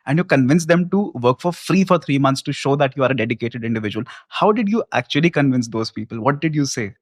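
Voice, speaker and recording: A man's voice: medium pitch, lively intonation, quick pace, no room sound, studio quality. A man hosting a podcast, asking curious questions and giving short agreeing replies. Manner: curious, brief backchannels. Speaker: podcast host. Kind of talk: interview questions.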